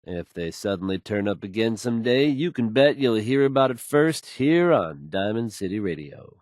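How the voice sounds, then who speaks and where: An actor playing a polished, confident radio DJ in a video game, with fluent, assured announcer delivery. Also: male voice, fairly low pitch, big pitch swings, normal speed, a little mellow, no room sound, studio quality. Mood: warm, assured.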